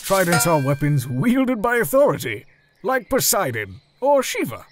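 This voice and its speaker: A man's voice: high-pitched, big pitch swings, moderate pace, background noise. A man voicing an easygoing, reassuring goat monster father in a comic dub, casual and encouraging. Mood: explaining.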